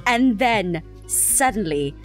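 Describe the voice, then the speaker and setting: A woman's voice: mid-range voice, lively intonation, slow, over music. A woman hosting and narrating fan fiction in a playful storybook style, teasing and theatrical. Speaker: host and narrator.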